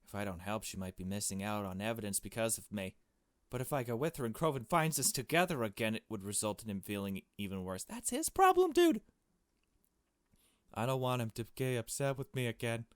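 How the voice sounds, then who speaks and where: A man with a fairly low voice narrates a visual novel as he plays. He moves between reading the text aloud and making casual, blunt remarks of his own. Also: big pitch swings, brisk, no echo, clean studio sound. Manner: casual, blunt asides with swearing. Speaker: gaming video host.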